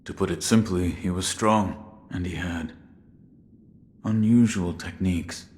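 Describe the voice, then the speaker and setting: An actor playing a stoic, dutiful shinobi warrior in a video game, speaking in a terse, solemn, restrained way. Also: male voice, sits low, measured pace, a little mellow. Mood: terse questioning. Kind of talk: dramatic video game voice acting. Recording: long reverberant hall-like echo.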